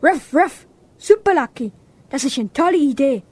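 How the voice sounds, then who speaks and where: A man's voice: extremely high pitch, expressive, normal speed, no echo. A teenage boy voicing a friendly, helpful dog in a children's amateur radio play. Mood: cheerful, eager. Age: teens. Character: friendly, helpful male dog, barking ruff ruff.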